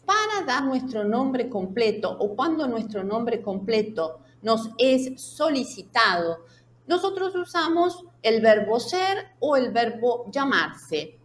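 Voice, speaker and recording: A woman's voice: on the high side, sing-song, takes it slowly, a little hiss or hum. A woman teaching a language lesson in a didactic way, laying out example sentences and checking in with short questions. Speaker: Spanish teacher. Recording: echoey room sound.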